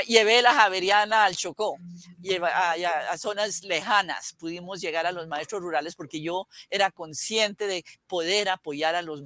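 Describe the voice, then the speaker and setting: An older woman with a Colombian accent tells her story in a rambling way, full of restarts and fillers. Her voice is bright and forceful, with a close, dry sound and almost no room echo. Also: fairly low pitch. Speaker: guest educator. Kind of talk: reminiscing in a video interview.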